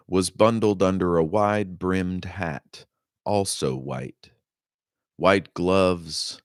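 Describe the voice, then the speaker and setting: A man with a very low voice narrates fiction like an audiobook. He reads at an unhurried pace with measured, dramatic phrasing, and the sound is dry with hardly any room in it. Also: no room sound. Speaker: author reading own novel.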